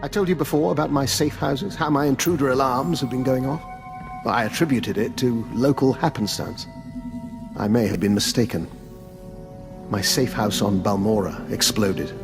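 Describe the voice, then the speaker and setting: An actor playing an urbane, calculating old doctor and veteran spy in a video game, with a refined, courteous, understated manner. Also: male voice, mid-range voice, normal speed, long reverb tail, over music, natural voice. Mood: apologetic, concerned. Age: older. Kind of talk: video game dialogue acting.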